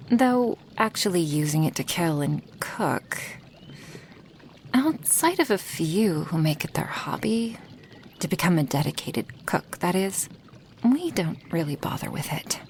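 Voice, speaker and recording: A woman voicing an affectionate female dragon who brews potions, in a soft, intimate ASMR roleplay with breathy half-whispers and sighs. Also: sits low, a little hiss or hum, exaggerated voice. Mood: casual, explaining. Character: affectionate female dragon, a potion maker tending her human guest.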